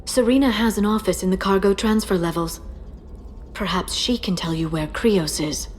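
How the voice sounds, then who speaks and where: A woman playing a cool, composed information broker in video game dialogue, measured and dry. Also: mid-range voice, a little hiss or hum, natural voice.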